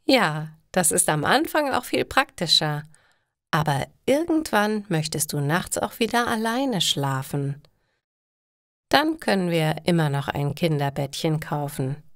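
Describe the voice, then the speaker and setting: Woman voicing a sensible mother, slow and measured. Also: deep voice, sing-song, dry recording, clean studio sound, full character voice. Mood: advising. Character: sensible, experienced mother of a new mother. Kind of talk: acted quotes in a slow learner story.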